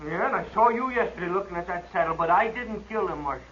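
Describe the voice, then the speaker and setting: A man's voice: sits high, animated, fast talker, fairly bright tone. An American actor playing a hot-headed, frightened young rifleman in a 1950s radio western, defiant and agitated. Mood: defiant, accusing. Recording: dull old radio recording, faint noise.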